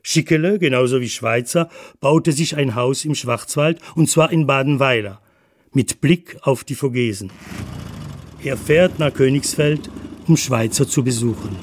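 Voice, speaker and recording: A man's voice: mid-range voice, measured pace. A male narrator of a radio feature with a mid-range voice. He reads a prepared script in a plain, matter-of-fact way, setting out the story.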